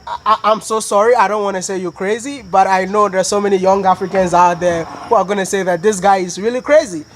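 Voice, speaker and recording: A man's voice: high voice, fairly even intonation, quick pace, a little hiss or hum. A man from Ghana, a YouTube interviewer, talking in a playful, teasing way. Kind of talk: casual interview. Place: raised platform outdoors on a rural farm.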